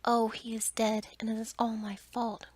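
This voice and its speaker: A woman voicing a tender, anxious young fairy-tale heroine in a breathy whisper for a bedtime story. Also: a little high, little pitch movement, normal speed, slight background noise, close to an everyday voice. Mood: distraught.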